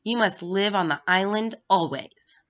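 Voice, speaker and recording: A woman's voice: mid-range voice, unhurried, fairly bright tone, close to an everyday voice. A woman voicing a stern, cruel male bird in a storybook, with a sneering, blunt manner. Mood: stern, dismissive. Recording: dull, lo-fi recording.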